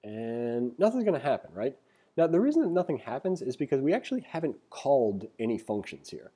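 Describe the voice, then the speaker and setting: A man giving a casual tutorial as an instructor, reading aloud and commenting informally as he thinks out loud. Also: mid-range voice, very animated, fairly quick, slightly rough. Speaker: programming instructor. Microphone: screen-recording voice-over.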